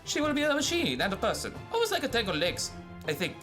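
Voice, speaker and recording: An actor playing an eccentric, wry scientist with a theatrical, halting delivery in an old-time radio adventure serial. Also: medium pitch, wildly swooping pitch, over music. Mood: celebratory. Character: eccentric scientist doctor, wry, gallant, champagne-loving.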